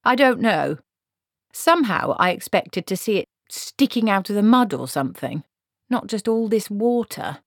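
A woman's voice: medium pitch, big pitch swings, moderate pace, no echo, studio quality, voice pushed up. A woman voicing a brusque, stubborn old witch in a storybook, with blunt, folksy, commanding speech. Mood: uncertain.

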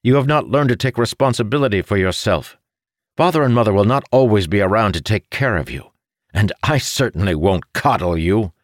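Guy doing a harsh self-righteous older brother, lecturing, fantasy audiobook, voice pushed higher and louder. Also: expressive, a little fast, dry recording, studio quality, natural voice. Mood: disgusted, scolding. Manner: harsh, preachy, disgusted. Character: stern, self-righteous elder brother scolding his sibling. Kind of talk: audiobook character voice.

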